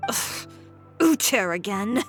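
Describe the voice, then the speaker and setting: An actress playing a blunt, self-assured young fashion designer in an anime game, speaking directly with cool composure. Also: female voice, mid-range voice, sing-song, slow pace. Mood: resigned. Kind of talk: English anime game voice acting. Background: soft game music.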